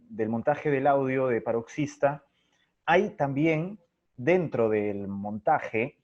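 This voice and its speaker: A man's voice: mid-range voice, a little mellow. Thoughtful man on dull lo-fi audio. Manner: thoughtful, explains through examples. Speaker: radio theatre director being interviewed. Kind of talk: reflective interview talk. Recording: dull lo-fi online call audio.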